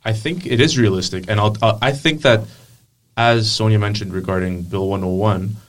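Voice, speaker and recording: A man's voice: lowish voice, moderate pace, far from the mic. A man speaking as a student guest on a podcast, earnest and firm in his opinions. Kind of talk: conversational interview.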